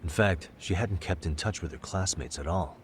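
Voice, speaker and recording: An actor playing a calm, sharp detective in an English game dub, measured and thoughtful as he reasons through a case. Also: low voice, a little hiss or hum, natural voice. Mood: analytical. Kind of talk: English video game dub cutscene acting.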